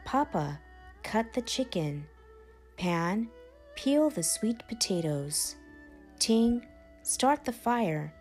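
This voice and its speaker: A woman reading a children's picture book aloud in a measured, animated storytelling manner. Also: medium pitch. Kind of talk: expressive storybook read-aloud. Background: background music.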